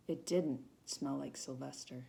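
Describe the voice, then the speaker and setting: A woman volunteer reads a children's picture book aloud in an expressive, storytelling manner. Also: extremely low pitch, measured pace, creaky. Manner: dramatic emphasis on feelings, with short asides to young listeners.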